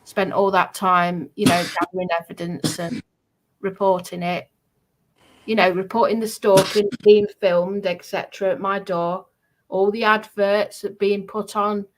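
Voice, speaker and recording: A woman's voice: medium pitch, rough recording. A woman in an aggrieved, emphatic livestream talk, often repeating herself to press her point.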